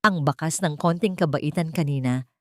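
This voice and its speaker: A woman narrating a scripted romance story in a measured, dramatic storytelling manner. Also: low voice, no room sound, studio quality.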